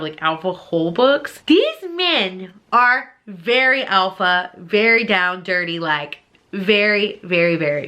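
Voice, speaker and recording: A woman's voice: mid-range voice, sharp tone. A woman talking casually to viewers as a book reviewer, in an informal, rambling way with emphatic repetition. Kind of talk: casual chatty opinion monologue to viewers.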